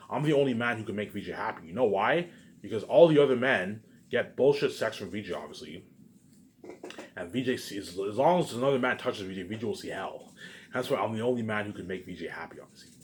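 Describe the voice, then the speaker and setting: Male online video maker, lowish voice, very quick delivery, looping unscripted rant that surges loud then sinks to a mutter. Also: slight background noise. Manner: repetitive, circling back to same phrases; emphatic, swinging between loud bursts and low muttered asides. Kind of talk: rambling unscripted monologue to viewers.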